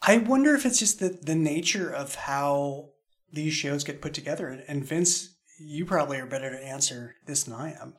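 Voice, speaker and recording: Guy with a highish voice hosting a podcast recap, chatting casually. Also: animated, moderate pace. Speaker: host, show creator.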